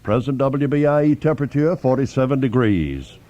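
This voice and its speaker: A man reading state and local news on a 1970s radio station in a measured, matter-of-fact way. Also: mid-range voice, lively intonation, lo-fi sound, noisy background. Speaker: local newscaster.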